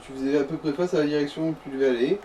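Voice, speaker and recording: Man, dark relaxed voice, dry sweary gaming chat, slightly distant mic, faint noise. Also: fairly high pitch, very fast. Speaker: co-commentator guiding the player.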